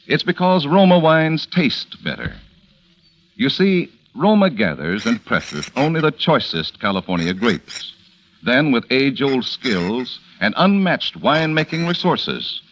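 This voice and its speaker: A male announcer from vintage radio gives a smooth, persuasive sponsor pitch. His pitch sweeps far up and down to stress the selling points. It has the sound of an old broadcast. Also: fairly bright tone.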